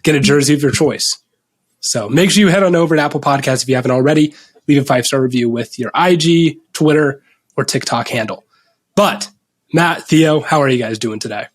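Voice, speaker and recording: A man's voice: mid-range voice, a little fast. A young man hosting a casual sports podcast, upbeat and joking as he runs the show. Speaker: podcast host.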